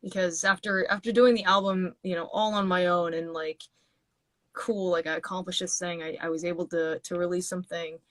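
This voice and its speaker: A woman guest talks about her music in a loose, rambling way, often restarting her sentences. Her voice sits a little low. The sound is dry and close, like a video call. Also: level tone, brisk, fairly bright tone, no room sound. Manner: rambling with many restarts and fillers. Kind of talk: casual interview chat.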